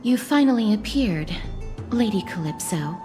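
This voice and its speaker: A woman's voice: mid-range voice, animated, normal speed, lightly acted. A woman playing a composed, polite and resolute young heroine in a video game. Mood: relieved, polite. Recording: reverberant, light music behind.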